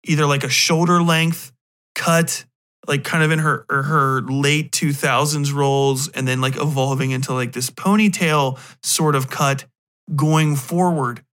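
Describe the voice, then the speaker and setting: A man's voice: on the high side, fairly even intonation, measured pace, fairly bright tone. A man, thirties or older, hosting a podcast in a casual, rambling monologue, cutting himself off with wry, sometimes profane asides. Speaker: podcast host.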